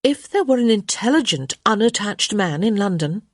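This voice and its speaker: A woman's voice: fairly high pitch, expressive, moderate pace, dry recording, clean studio sound, pitched above the performer's own voice. A woman voicing a witty young unmarried lady, arch, teasing and sarcastic, in a story reading.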